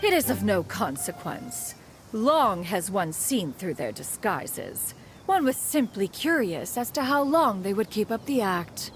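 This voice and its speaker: A woman's voice: medium pitch, big pitch swings, unhurried, faint music behind. A woman voicing an ancient, aloof female crane spirit who speaks formally and calls herself "one", in a video game. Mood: composed. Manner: archaic formal speech, refers to herself as "one".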